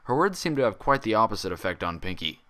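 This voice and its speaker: A man's voice: fairly low pitch, sing-song, fast, pushed and bright. A man narrating fan fiction as a scripted, read-aloud story.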